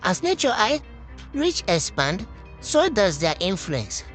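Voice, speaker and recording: A woman reading a scripted story aloud as narrator, in a halting way, stumbling and repeating words now and then. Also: medium pitch, sing-song, faint music behind.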